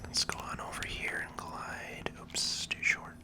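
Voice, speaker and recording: A gaming video host giving hushed, relaxed ASMR commentary in a breathy, unvoiced whisper while playing. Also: a little slow.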